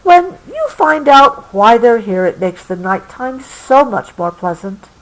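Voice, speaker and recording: A woman voicing a gentle, reassuring kangaroo mother in a bedtime storybook, soft and patient. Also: fairly high pitch, big pitch swings, unhurried, rough recording. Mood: thoughtful, explaining.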